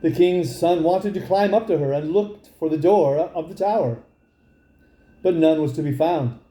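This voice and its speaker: A man reads a fairy tale in a very mellow, high-set voice for a male. He takes his time over the storybook narration, and the room adds a clear echo. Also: normal speed. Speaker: storybook reader.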